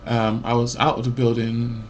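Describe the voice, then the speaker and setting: A man in his forties, a mental health support worker, ranting about his job in a loud, rambling way full of fillers and restarts. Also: medium pitch, completely flat delivery, normal speed, lo-fi sound. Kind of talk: rambling personal rant.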